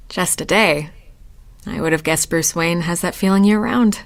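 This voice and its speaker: A woman's voice: lowish voice, slow. A woman playing a wry, flirtatious society party guest in a video game, teasing and knowing in manner. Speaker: game voice actor. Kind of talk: conversational game dialogue acting.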